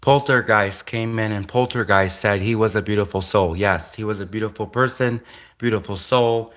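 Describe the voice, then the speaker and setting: Nasal, bright-toned man from Canada, casual livestreamer answering chat, repeats himself. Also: on the low side, steady tone. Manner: halting, repeats himself, restarts phrases.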